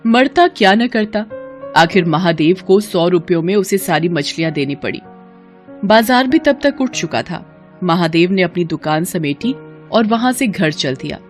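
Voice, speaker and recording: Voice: medium pitch, lively intonation, unhurried. Scripted cartoon storyteller over music. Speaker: narrator.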